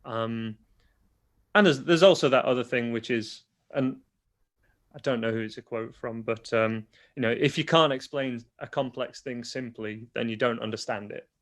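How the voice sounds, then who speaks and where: A man's voice: mid-range voice, moderate pace. A young adult man with a toned-down Yorkshire accent, a guitar teacher talking casually in an interview and explaining things plainly with frequent restarts. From Yorkshire, England. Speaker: guitar teacher and online video creator.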